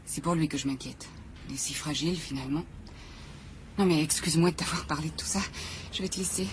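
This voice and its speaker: A woman's voice: deep voice, quick pace, close to an everyday voice. An actress playing an anxious, suspicious but proud wife in a French radio drama, nervous and apologetic as she corrects herself. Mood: defensive. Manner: nervous, self-correcting, shifting from firm to faint. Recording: clearly audible background noise.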